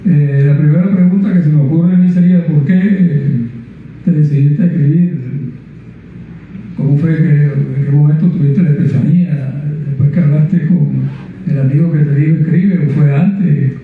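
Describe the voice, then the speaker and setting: A man's voice: on the high side, steady tone, normal speed, a little hiss or hum. Distant man asking questions. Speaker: event moderator. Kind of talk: interviewing the author. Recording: distant, echoey, tinny lo-fi.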